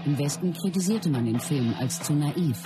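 A man acts an earnest associate narrating a life story in a documentary radio play. His pitch sits a little high, and music runs prominently behind him. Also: animated, moderate pace, natural voice. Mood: earnest, reflective.

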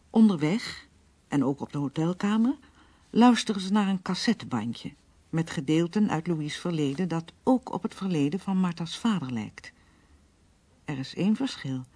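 Dark, husky radio narrator. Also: medium pitch, dry recording. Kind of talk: scripted radio drama narration.

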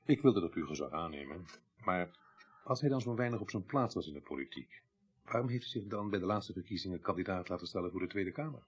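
Low, husky investigator. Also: male voice, expressive. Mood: probing. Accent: Netherlands Dutch. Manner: polite, measured questioning. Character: patient, probing male police investigator. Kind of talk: Dutch radio crime drama acting.

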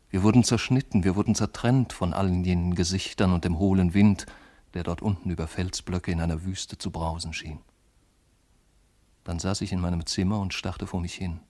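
An actor playing a lovesick, brooding man of letters in a literary radio monologue, speaking lyrically and intimately in measured phrases. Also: low voice, a little mellow. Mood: anguished, fervent.

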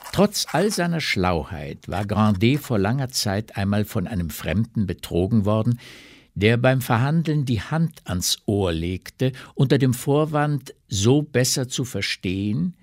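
A man's voice: lowish voice, big pitch swings, a little slow, no echo, studio quality. A man narrating a literary radio drama in a scripted storytelling style.

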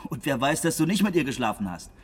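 An actor in a German radio drama playing a slick, wheedling crony with a colloquial, persuasive manner. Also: male voice, medium pitch, big pitch swings, fast talker, breathy edge. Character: slick, wheedling male crony, casually grasping.

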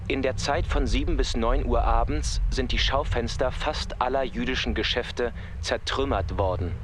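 A man's mid-pitched voice , an actor's, reads as a 1930s newspaper reporter in a radio play. The delivery is scripted, formal and precise, with a smug edge. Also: normal speed, background noise, lightly acted.

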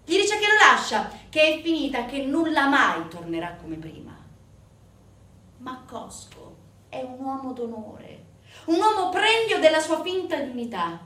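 Echoey, distant woman delivering a dramatic monologue. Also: sits high. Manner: emphatic, with deliberate pauses and swings from hushed to forceful. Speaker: stage actress and narrator. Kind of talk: dramatic theatrical monologue storytelling. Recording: distant, echoey room recording with faint noise.

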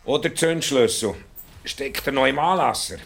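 An actor playing a rich, stubborn, vengeful villager with a Swiss German accent, giving curt orders in a radio drama. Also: male voice, medium pitch, moderate pace, gravelly. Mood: commanding. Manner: curt, unmoved, gives flat orders. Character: rich, vengeful returned villager, cold, stubborn, commanding man.

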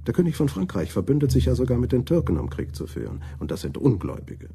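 An actor playing a cynical imperial adviser in a German radio play, lecturing in a matter-of-fact way. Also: male voice, medium pitch, big pitch swings, quick pace, creaky, dark tone, a little hiss or hum. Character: smooth, cynical imperial adviser schooling young monarch.

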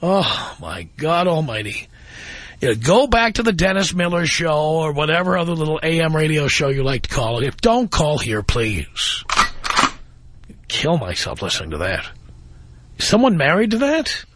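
A man's voice: fairly high pitch, sing-song, moderate pace, a bit bright, slight background noise. Blunt, exasperated radio host man. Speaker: radio talk show host. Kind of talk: political call-in talk radio.

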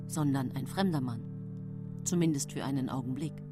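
A woman's voice: rumbling low, moderate pace. A woman playing a brooding, secretly murderous character who tells her own story in a radio drama, confiding and controlled. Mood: tense storytelling. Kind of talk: radio drama first-person narration. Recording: music behind the voice.

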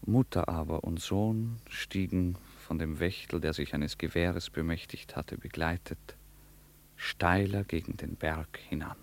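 A man narrating a literary radio play in a measured, formal way. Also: low voice.

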